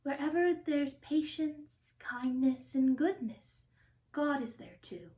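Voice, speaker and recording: A woman hosting a storytime, reading a children's picture book aloud with expressive, deliberate phrasing. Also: high-pitched. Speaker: storytime host and reader.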